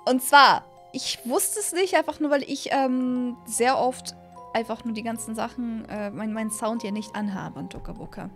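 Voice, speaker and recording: Hohe, aufgeregte junge Frauenstimme. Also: female voice, a little high, moderate pace, soft background music. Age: young adult. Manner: excitable, repeats phrases, stumbles over words. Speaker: gaming video host. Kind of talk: casual gameplay commentary. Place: small room at a gaming setup, indoors. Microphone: close studio mic on boom arm.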